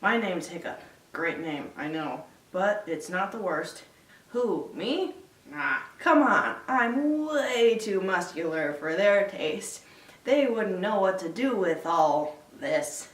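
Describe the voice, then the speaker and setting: A woman playing a sarcastic, awkward young Viking man in a dry, deliberate film-style recitation. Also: mid-range voice, animated. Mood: wry narration. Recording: echoey room, distant mic.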